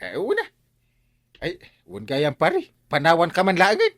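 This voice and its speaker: A man with an Ilocano accent playing a boastful, excitable village man in a radio comedy drama, exaggerated and comic, with vocal sound effects. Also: fairly high pitch, unhurried, dry recording, studio quality, exaggerated voice. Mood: huffy.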